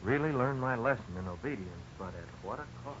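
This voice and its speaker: Earnest folksy man telling his own past, acted: mid-pitched, very animated, vintage radio narration. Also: fairly quick, close to an everyday voice. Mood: reflective, explanatory. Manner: folksy, expressive storytelling. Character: grown-up Vic, earnest man recalling his youthful blunder. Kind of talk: 1950s radio drama first-person narration. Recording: dull, noisy old radio recording.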